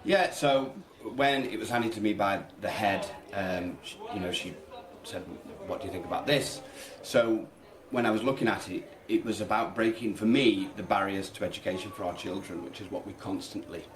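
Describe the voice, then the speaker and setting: A man with a fairly low voice speaks earnestly, as a teacher being interviewed. He is halting but emphatic. The room is noticeably echoey, with other people heard behind him. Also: a crowd in the background. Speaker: primary school teacher. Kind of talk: radio interview, conversational explanation. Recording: roomy echo, people chattering behind.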